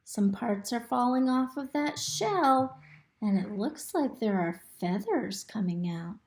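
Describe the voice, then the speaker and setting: A woman reading a picture book aloud to young children in an expressive, instructive way, pausing to ask them simple questions. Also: on the high side. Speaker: storybook reader. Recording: roomy, echoey indoor recording.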